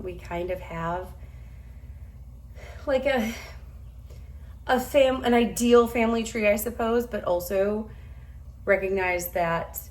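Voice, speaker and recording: A woman in her thirties with a slightly hoarse voice, chatting casually to camera at home, self-deprecating and quick to laugh. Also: mid-range voice, slight background noise. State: hoarse, recovering from losing her voice. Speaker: vlogger. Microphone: camera a few steps away.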